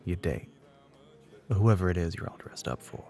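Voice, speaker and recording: A man playing a flirty, middle-aged bartender with a Southern US accent and a low, gravelly voice, teasing in a folksy way in an ASMR roleplay. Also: lively intonation, moderate pace, mellow, soft background music. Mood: wry sympathy. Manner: drawling folksy terms of endearment, teasing.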